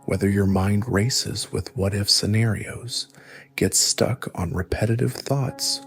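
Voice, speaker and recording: A man narrating a scripted guided meditation, giving gentle instructions in a soothing, measured way. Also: lowish voice, vocal fry.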